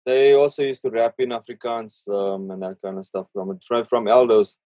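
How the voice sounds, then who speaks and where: A man hosts a podcast in a relaxed, conversational way, dropping in short remarks. His voice is mellow and mid-pitched, recorded dry and close. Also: moderate pace, dry recording. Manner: relaxed, chiming in with short asides and backchannels. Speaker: podcast host.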